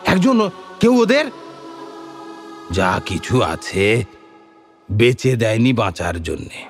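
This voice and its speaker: A man's voice: fairly low pitch, very animated, slow pace, faint music behind, full character voice. An actor playing a rustic male bandit, telling bitter tales in an emphatic, theatrical way, full of rhetorical questions, for an audio drama. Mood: indignant, bitter. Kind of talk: dramatised audio story dialogue.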